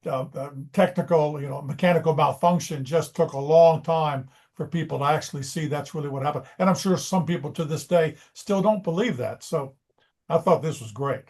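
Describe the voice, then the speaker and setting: A man's voice: a little high, fairly quick, darkish tone. An American man from near Washington DC giving casual, rambling feedback in conversation. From United States, near Washington DC.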